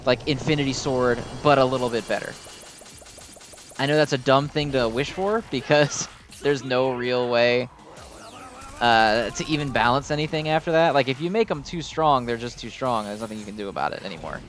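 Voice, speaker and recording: A man's voice: medium pitch, moderate pace, faint music behind. A man giving casual, unscripted gameplay commentary in a rambling, informal, self-deprecating way. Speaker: gaming video host.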